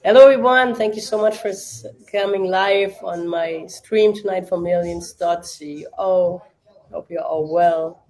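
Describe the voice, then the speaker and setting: A young woman whose native tongue is German talks in relaxed, rambling chat as she hosts a live watch party. Her English carries a clear German accent and she leans on fillers as she answers her viewers. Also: lowish voice, unhurried, poor audio. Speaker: livestream watch-party host. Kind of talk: casual livestream chat.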